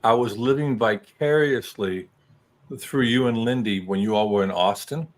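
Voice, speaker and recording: A man's voice: fairly low pitch, normal speed, slight background noise. A man in his early sixties from New Mexico, a guest chatting casually in a folksy, self-deprecating way. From New Mexico, United States. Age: early 60s. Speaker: guest joining a live chat show. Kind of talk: casual chat.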